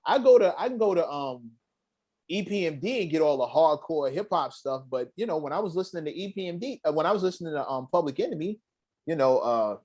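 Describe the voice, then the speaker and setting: A middle-aged man with a slightly high voice hosts a casual talk show. He speaks with emphasis and grows animated, even rapping lines from memory. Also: quick pace, husky. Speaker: podcast host. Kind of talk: casual chat.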